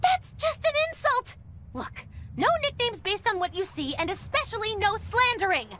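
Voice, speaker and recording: High, swooping, theatrical young witch. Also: very animated, moderate pace, fairly bright tone, slight background noise, full character voice. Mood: exasperated pleading. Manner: arch, theatrical, wordy. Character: sly, theatrical young witch, teasing and grandiose. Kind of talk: English anime game dub.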